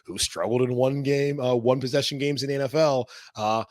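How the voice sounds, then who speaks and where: Hoarse man, casual sports podcast host, mid pitch, dry booth. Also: steady tone, fairly quick, a little mellow, no room sound. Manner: casual, rambling.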